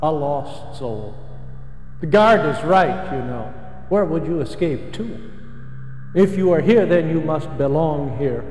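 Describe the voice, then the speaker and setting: An actor playing a taunting, sly old sorcerer with a theatrical, unhurried delivery. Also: male voice, on the high side, animated, a little mellow. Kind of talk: theatrical villain acting. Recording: echoey hall, faint music.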